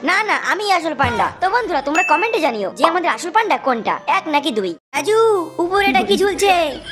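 A woman's voice: very high voice, very animated, brisk. A woman voicing a childish, greedy and stubborn big cartoon panda with a whiny, playful manner. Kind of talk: cartoon dubbing. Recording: music under the voice.

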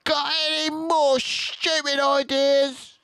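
Little pot-bellied farmer, snide and shouty, high pitched, as an older grandad puts him on; storybook. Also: male voice, level tone, takes it slowly, gravelly, no room sound, exaggerated voice.

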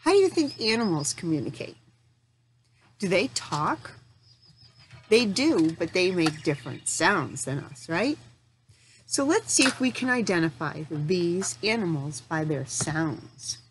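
Slow, lively woman teaching young children. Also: lowish voice, a little hiss or hum. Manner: questioning, encouraging, simple phrasing with pauses for answers. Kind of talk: interactive lesson for young children.

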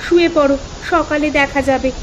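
A woman's voice: high-pitched, steady tone, brisk, highs cut off, noisy background, natural voice. A woman playing a practical, concerned village wife in a cartoon dub. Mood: practical, worried.

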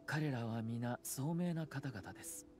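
A man voicing a courteous, calculating young clan head in a Japanese anime game, smooth, formal and measured. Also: mid-range voice, darkish tone, natural voice. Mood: composed, serious. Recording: game music underneath.

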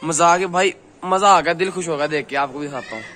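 A hoarse young man vlogging excitedly to a phone held at arm's length, outdoors at night and in a busy eatery. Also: on the high side, quick pace. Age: young adult. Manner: chatty, excited, talks straight to the viewer. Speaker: travel vlogger. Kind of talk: casual vlog to camera. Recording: crowd noise in the background.

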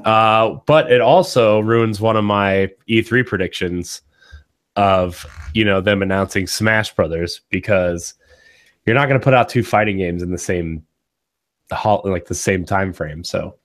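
Deep-voiced hoarse young man hosting. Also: measured pace. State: hoarse from a cold. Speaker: podcast host. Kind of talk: casual gaming podcast chat. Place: small home studio with green backdrop. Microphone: studio condenser mic on boom arm.

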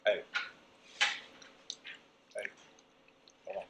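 A man's voice: very slow, very noisy. A young man hosting a casual food review vlog in a small restaurant, talking in hyped, slang-heavy banter into a phone camera. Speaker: vlog host. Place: small restaurant dining room.

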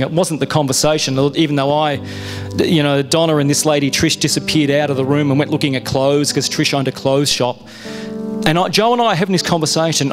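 A middle-aged Australian man tells his conversion story on a dark church stage, chatting through a handheld mic and often restarting his sentences. His voice sits a little high for a man. Music plays clearly underneath him. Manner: conversational storytelling with self-interruptions. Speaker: guest speaker. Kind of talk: personal testimony.

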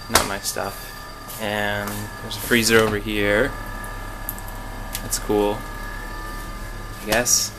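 A young man giving a casual, halting, understated walk-through tour on a handheld camera. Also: background noise. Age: young adult. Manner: low-key, halting, understated. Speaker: exchange student giving a tour. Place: indoors in a student dormitory, walking through rooms.

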